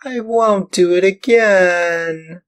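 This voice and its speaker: A man's voice: slow pace, lightly acted. Pinocchio, a man doing a sobbing puppet boy. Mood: tearful pleading. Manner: sobbing, high-pitched pleading. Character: crying wooden boy puppet, scared and pleading. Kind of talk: storybook reading.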